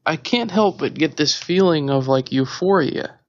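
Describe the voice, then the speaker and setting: A man hosting an interview, asking questions in a halting way and often rephrasing them. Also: a little high, expressive, no room sound, highs cut off. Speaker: interviewer, host. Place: hotel room.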